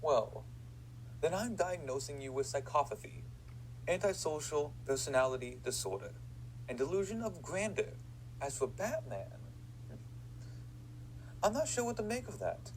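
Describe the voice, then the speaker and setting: An actor in an audio drama playing a condescending prison psychiatrist with a clinical, sardonic manner. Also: male voice, fairly high pitch, sing-song, moderate pace, long pauses, slight background noise, rough recording. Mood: clinical, stern.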